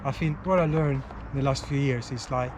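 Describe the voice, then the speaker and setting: A man from Italy with an Italian accent, a runner speaking in plain, halting English while out running on a park trail. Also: mid-range voice, steady tone, muffled recording, background noise. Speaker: club runner, guest.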